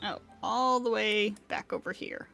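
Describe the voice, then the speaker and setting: A woman's voice: medium pitch, steady tone, measured pace, gravelly, sharp tone, faint music behind. A woman streamer giving casual gaming commentary, chatty and thinking aloud with laughs.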